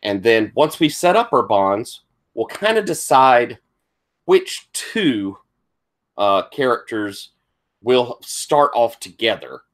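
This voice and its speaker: A man's voice: mid-range voice, big pitch swings, takes it slowly. A tired man with a thick Southern US accent, politely walking players through tabletop game setup as game master. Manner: polite, accommodating. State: tired from lack of sleep.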